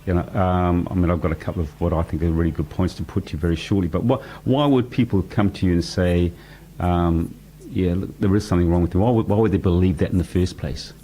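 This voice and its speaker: A man hosting a radio talk show who probes his guest with quick questions and pushes back on her points. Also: sits low, fairly even intonation, mellow, slight background noise, rough recording. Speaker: radio host. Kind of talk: interviewing on radio.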